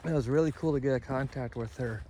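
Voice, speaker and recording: A man talking casually to his vlog audience in a candid, halting way, often correcting himself as he rambles through updates. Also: mid-range voice, dark tone. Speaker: amateur radio hobby vlogger.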